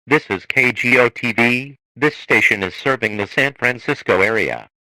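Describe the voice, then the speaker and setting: A man reading a scripted emergency broadcast in a formal, measured manner. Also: mid-range voice, pushed and bright, no room sound, rough recording. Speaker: emergency alert announcer.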